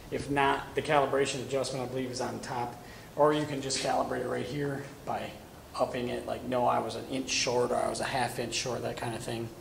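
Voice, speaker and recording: A man's voice: medium pitch, creaky, slight background noise, poor audio. A man in his thirties giving a casual hands-on welding equipment demonstration in a shop, talking as he works. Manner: casual, talks while working with his hands. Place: welding shop corner with curtain backdrop.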